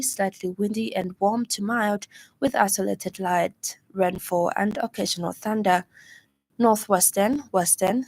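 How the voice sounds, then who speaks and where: A woman reading the evening news bulletin in a radio studio, in a measured, formal newsreader's delivery. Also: medium pitch, darkish tone. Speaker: news reader.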